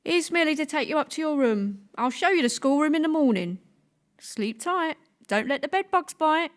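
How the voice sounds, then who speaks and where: An actress playing a plain-spoken, cheeky but dutiful manor housemaid in an audio play. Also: female voice, high-pitched, animated, normal speed. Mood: friendly.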